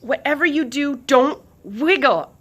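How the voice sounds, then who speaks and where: A woman voicing a determined, frightened young girl hockey player, calling out in urgent, commanding shouts for a storybook. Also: sits high, lively intonation, unhurried, voice pushed up.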